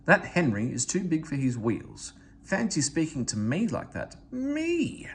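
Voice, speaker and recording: Man playing storybook steam engine, pompous, indignant, grumbling, very animated pitch. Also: unhurried, slight background noise, full character voice. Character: huffy, pompous, self-important male big steam engine.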